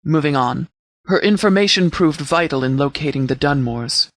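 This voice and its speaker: A man playing a stern sheriff in a video game, giving formal, measured briefings with authority. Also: on the high side, natural voice. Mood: grave, resolute. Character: stern, formal sheriff commanding a post-apocalyptic settlement militia. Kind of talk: scripted video game dialogue, military briefing.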